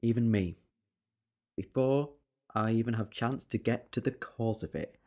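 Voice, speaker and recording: A woman playing a brisk, eccentric, exasperated time-travelling alien adventurer in a sci-fi audio drama, with a teasing, emphatic manner. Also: extremely low pitch, dark tone. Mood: grave, urgent.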